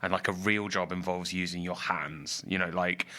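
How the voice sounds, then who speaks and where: A man talks through a casual studio podcast. His voice is fairly low yet strikingly bright and forceful, and he muses out loud, circling back with "like" and "you know.". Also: steady tone, normal speed. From northwest England. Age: 30s. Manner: reflective, rambling with many fillers. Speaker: podcast co-host. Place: studio in a building. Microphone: studio microphone.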